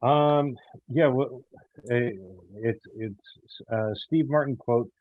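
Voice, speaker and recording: A man speaking casually as an interview guest, in a hesitant, halting and earnest way. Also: mid-range voice, no room sound, narrow, tinny audio. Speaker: audio theater show creator, interview guest.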